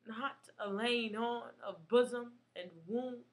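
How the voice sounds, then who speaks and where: A young woman delivering a theatrical poetry monologue with dramatic, emotional, deliberate phrasing. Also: on the high side. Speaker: actress performing monologues.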